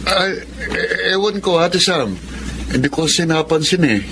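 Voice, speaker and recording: Evasive young brother, slightly high voice. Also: male voice, measured pace, noisy background. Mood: evasive, impatient. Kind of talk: Filipino radio-style drama acting.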